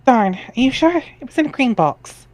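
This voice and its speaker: An amateur reader voicing a cheerful, curious teenage girl in a dramatic reading, with bright, exclamatory delivery. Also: medium pitch, very animated, moderate pace, dry recording, pitched above the performer's own voice, lightly acted. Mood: friendly, upbeat.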